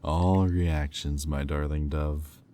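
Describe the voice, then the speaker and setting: A man playing a smug, predatory demon in a roleplay audio drama, teasing and taunting in a silky manner with deliberate pauses. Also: extremely low pitch, steady tone, dark tone, a little hiss or hum. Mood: smug, amused. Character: smug, predatory male demon toying with a captive angel.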